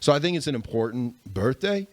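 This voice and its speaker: A man in his forties hosts a podcast in loose, slangy banter. His voice sits at an ordinary middle pitch, and he laughs often between lines. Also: lively intonation, a little fast, a little mellow, no echo. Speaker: podcast host. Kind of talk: casual podcast chat.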